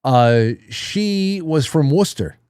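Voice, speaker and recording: A man's voice: on the high side, lively intonation, slow, darkish tone, clean tone, no room sound, clean studio sound. A man hosting a live call-in show in casual chat, joking and swearing freely as he talks. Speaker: radio show host.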